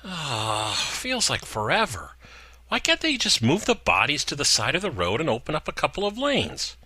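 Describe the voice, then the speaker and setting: A man's voice: fairly high pitch, huge pitch swings, moderate pace, sharp tone, husky, dry recording, pitched above the performer's own voice, full character voice. A man voicing an impatient, crude young woman in a car, snappy and sarcastic. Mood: irritable.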